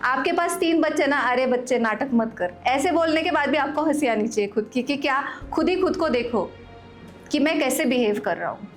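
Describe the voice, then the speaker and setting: A high-pitched woman in her forties runs a live wellness workshop for a group. She questions her listeners, walks them through exercises step by step, and slips English phrases into her talk. Faint music sits under her voice. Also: normal speed. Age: 40s. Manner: lively, questions the audience and gives step-by-step instructions; mixes Hindi with English phrases. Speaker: wellness coach leading a training session.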